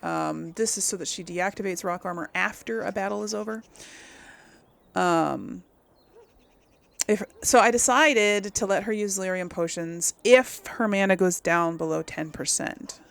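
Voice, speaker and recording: A woman hosts a gameplay series in an informal, conversational way. Her voice has a noticeable creak of vocal fry at an ordinary female pitch. She talks through the game casually, thinking aloud as she explains it to her viewers. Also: measured pace, fairly bright tone. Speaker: video game streamer, host of a role-play series.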